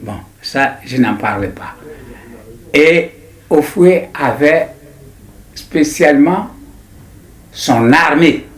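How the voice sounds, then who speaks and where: A man in his nineties with an Ivorian accent, recounting his memories in an interview in a deliberate, halting way with repetitions. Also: fairly high pitch, expressive. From Ivory Coast. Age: 90s. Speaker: interviewee, writer. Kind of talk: personal recollection in an interview.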